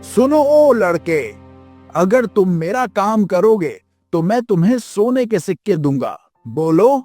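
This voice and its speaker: A man's voice: high-pitched, sing-song, slow pace, a little mellow, soft background music, heavily acted. A man voicing a lazy, arrogant, hot-tempered young prince in a children's moral story, with a haughty, bullying manner.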